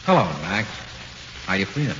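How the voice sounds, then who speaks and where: Sly dead tough guy ghost, american, slangy n coaxing, kinda low, muffled hissy 40s radio horror. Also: big pitch swings, moderate pace, sharp tone. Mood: coolly taunting. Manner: slangy, matter-of-fact, coaxing. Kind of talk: 1940s radio horror acting.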